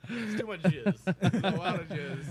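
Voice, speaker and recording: A man co-hosting a podcast, chatting casually about movies in an excitable, profane, riffing way. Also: high voice, normal speed, a crowd in the background. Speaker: podcast co-host. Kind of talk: casual movie review chat.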